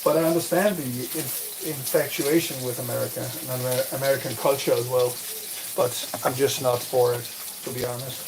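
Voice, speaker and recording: A man's voice: mid-range voice, fairly even intonation, a little fast. A man with a Danish accent, a streamer chatting casually with viewers in a rambling way while he cooks, recorded on a phone. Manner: rambling, answers chat directly, many restarts. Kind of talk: casual live-stream chat. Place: home kitchen while cooking. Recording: loud kitchen noise from frying and tap. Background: frying, sizzling and a running tap.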